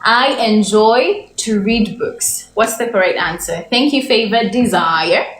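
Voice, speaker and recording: Slow-speaking woman teaching English, echoey room. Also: mid-range voice, a bit bright. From Uganda. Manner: slow, drawn-out example sentences, repeated questions to viewers. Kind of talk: livestream English lesson. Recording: echoey room, faint background noise.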